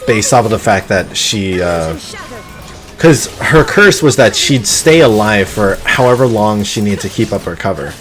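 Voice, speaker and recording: A man streaming a game live and reacting in a loose, casual way, with frequent exclamations. His voice moves a lot in pitch as he chats. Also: lively intonation, moderate pace, a bit bright, faint music behind. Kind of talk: live gameplay commentary.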